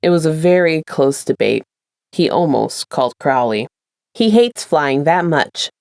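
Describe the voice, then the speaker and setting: Low-voiced woman narrating, very dry sound. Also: animated, measured pace, gravelly, darkish tone, dry recording. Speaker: podfic creator and narrator. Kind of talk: casual intro and scripted story narration.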